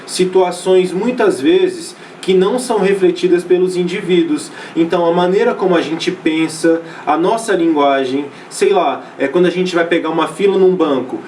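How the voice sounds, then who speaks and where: A man's voice: sits high, normal speed, hardly any pauses, darkish tone, recorded from a distance, slight background noise. A Brazilian man teaching a sociology class in a loose, conversational way, often restarting phrases and checking in with his students. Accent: Brazilian Portuguese. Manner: casual, many fillers and restarts, checks in with students. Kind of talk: recorded online class lecture.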